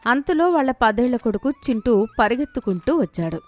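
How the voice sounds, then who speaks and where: A woman's voice: on the high side, big pitch swings, brisk, darkish tone, soft background music. A woman reading scripted narration for a moral story as its storyteller.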